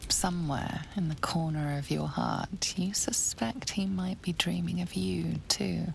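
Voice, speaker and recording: Very low, creaky hushed fantasy narrator. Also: female voice, steady tone, measured pace, a little hiss or hum. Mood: hushed, seductive. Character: hushed, intimate, knowing storybook narrator of fantasy adventure. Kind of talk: scripted second-person game narration.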